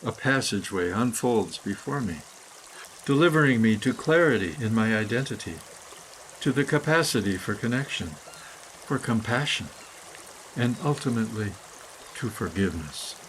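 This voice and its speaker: A man reading a scripted, poetic guided meditation in measured, deliberate phrases with pauses. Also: lowish voice, lively intonation, fairly bright tone, slight background noise, poor audio. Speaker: guided meditation reader, author.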